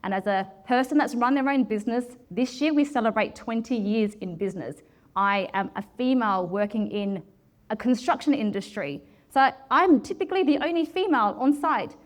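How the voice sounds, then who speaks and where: A woman in her forties, a project manager giving a talk, with a loud voice and a direct, passionate way of speaking. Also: a little high, moderate pace. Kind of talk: presentation talk with Q and A.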